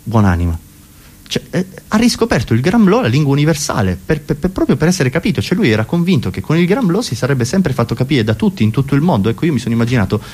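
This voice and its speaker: A man delivers a comic cabaret monologue. He rambles and keeps interrupting himself in a neurotic stream, his pitch swooping up in protest and dropping back again. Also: very animated, fast, darkish tone, slightly rough. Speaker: comedian.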